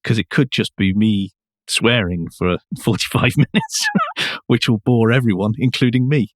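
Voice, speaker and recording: A man's voice: medium pitch, animated, normal speed, no echo, clean studio sound. A man co-hosting a podcast, talking casually with firm, emphatic opinions. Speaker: podcast co-host. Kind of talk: casual discussion of car news.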